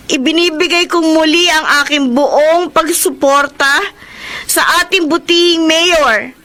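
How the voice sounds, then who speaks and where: An actress playing a remorseful, humble young woman candidate giving a formal, deliberate speech in a Filipino radio drama. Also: very high voice, sharp tone, slight background noise, full character voice. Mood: humble, rallying.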